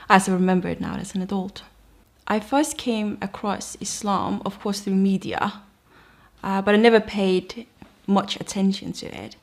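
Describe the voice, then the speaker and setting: A young woman from Denmark with a Danish accent, reflectively telling her personal conversion testimony. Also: mid-range voice. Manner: reflective, earnest. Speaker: convert sharing her story.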